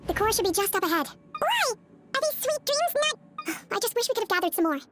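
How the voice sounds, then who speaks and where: Squeaky little anime game creature, excitable, anxious, bouncing intonation, loud music. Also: female voice, fast talker. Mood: flustered, eager. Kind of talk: anime video game voice acting.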